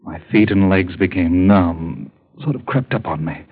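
An actor playing a frightened bedridden husband in an old radio drama, pleading and stammering in a husky voice. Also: male voice, low voice, unhurried. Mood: weary. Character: frightened bedridden husband, grown man, fearful and volatile. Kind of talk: 1940s radio drama acting. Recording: muffled old radio broadcast.

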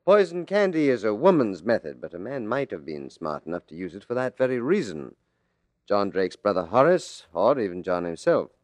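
Shrewd radio detective, swooping low voice. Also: male voice, sing-song, moderate pace, dry recording. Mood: musing, probing. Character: hard-boiled, shrewd, musing male police detective. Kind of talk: old-time radio mystery acting.

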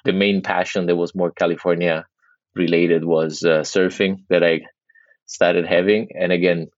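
Deep-voiced Italian man, hesitant. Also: flat delivery, normal speed, no echo, muffled recording. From Italy. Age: 30s. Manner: hesitant, many restarts and fillers. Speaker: guest. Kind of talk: casual interview conversation.

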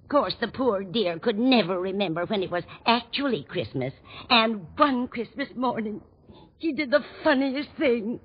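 An actress playing a kindly, scatterbrained older landlady with a husky voice in a 1940s radio comedy. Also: a little high, animated, moderate pace, a little hiss or hum, lightly acted. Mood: kindly, chatty. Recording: dull vintage 1940s radio recording.

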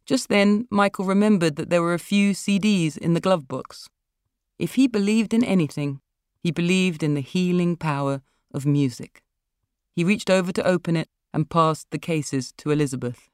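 Low-voiced woman reading literary fiction. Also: moderate pace, smooth, no room sound, clean studio sound. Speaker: author reading own fiction. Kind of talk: literary narration.

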